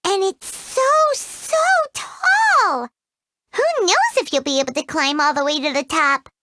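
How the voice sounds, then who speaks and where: A woman's voice: high voice, very animated, unhurried, noisy background. A woman voicing a tiny, greedy, chatty fairy companion who speaks of herself in the third person, in studio game voice acting. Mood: excited. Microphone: studio mic.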